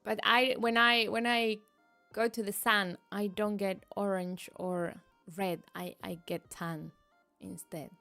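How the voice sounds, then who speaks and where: A woman's voice: mid-range voice, little pitch movement, slow, vocal fry, bright, forceful tone, soft background music. A woman streamer, chatting casually and giggling often, restarting her sentences. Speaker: VTuber streamer. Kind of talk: casual chat reading live chat.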